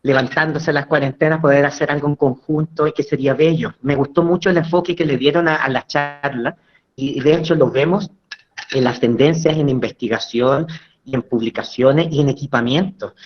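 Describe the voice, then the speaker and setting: A Chilean man offers relaxed, appreciative remarks as a guest dentist on a webinar. His voice sits slightly high for a man. The video call audio is muffled and lo-fi. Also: brisk. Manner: warm, complimentary, conversational with small restarts. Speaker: guest dental surgeon. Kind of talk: online webinar commentary.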